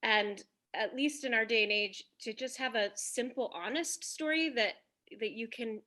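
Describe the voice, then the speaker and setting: A woman's voice: on the high side, normal speed, sharp tone. A woman talking casually and reflectively, with an earnest manner. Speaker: cast member of an audio drama.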